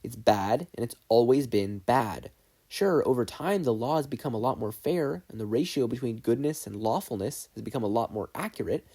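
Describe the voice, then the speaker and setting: A man's voice: medium pitch, expressive, moderate pace, warm tone, husky. A man voicing an earnest, idealistic teenage boy student in an audiobook, arguing emphatically. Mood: impassioned, persuasive. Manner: emphatic, argumentative.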